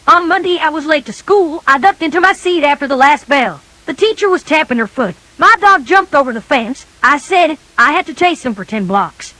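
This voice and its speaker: A woman reading a scripted children's story in a folksy, animated storybook manner. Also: sits high, moderate pace, hardly any pauses, dry recording.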